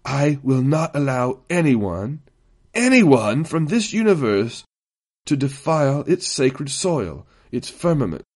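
Man performing a gaunt zealot inquisitor, imperious, intense, drawn-out emphatic words, sci-fi audiobook villain. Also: medium pitch, expressive, darkish tone. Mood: quietly intense.

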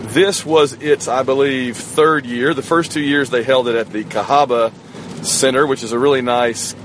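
A slightly hoarse man hosting a podcast solo in a casual, rambling way, recorded on a phone inside a moving car. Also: mid-range voice, fairly even intonation, normal speed. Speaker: podcast host. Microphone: smartphone on the dashboard. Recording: road and rain noise inside moving car. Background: road noise and rain.

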